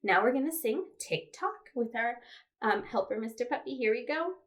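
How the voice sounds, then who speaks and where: A woman's voice: a little high, expressive, normal speed. A woman leading a toddler storytime as a children's librarian, speaking simply to small children and reading aloud with questions and playful touches. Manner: simple child-directed delivery with playful animal sounds.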